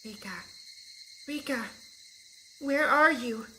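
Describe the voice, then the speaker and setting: A woman voicing a gentle girl deity who calls softly, pleading from far away. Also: high-pitched, slow pace, heavily acted. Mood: distant, pleading.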